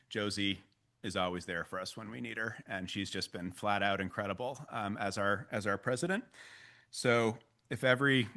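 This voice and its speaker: A man on a nonprofit's staff giving a halting, casual tribute at an annual meeting, with many fillers and restarts. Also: lowish voice, sharp tone. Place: meeting hall.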